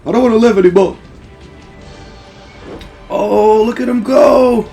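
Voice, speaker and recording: A man giving casual, rambling, profanity-laced gaming commentary with excitable fan talk. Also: high-pitched, measured pace, faint music behind. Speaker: let's play host.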